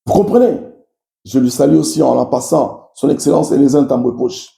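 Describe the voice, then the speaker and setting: A man's voice: medium pitch, lively intonation, normal speed, vocal fry, warm tone, roomy sound. A man from Kasai with a Congolese French accent, whose first language is Tshiluba, giving impassioned political commentary and speaking directly to his followers. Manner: emphatic, repetitive, addresses listeners directly.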